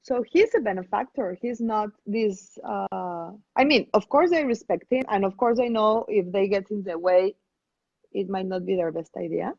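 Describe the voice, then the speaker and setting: A woman from Mexico with a Mexican accent, a guest in a casual conversation, pausing to search for her words. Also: medium pitch, gravelly, darkish tone, breathy edge, no echo, highs cut off. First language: Spanish. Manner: thoughtful, searching for words with fillers.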